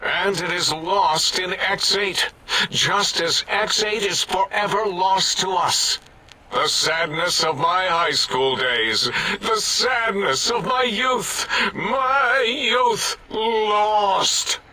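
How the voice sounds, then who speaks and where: A melodramatic, gloomy robotic scientist in a comedy game. The delivery is very slow and theatrical, high and piercingly bright, steeped in mourning. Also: male voice, full character voice, rough recording. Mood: mournful. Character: melodramatic, morose robotic brain scientist mourning lost youth. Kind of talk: video game voice acting, sci-fi comedy.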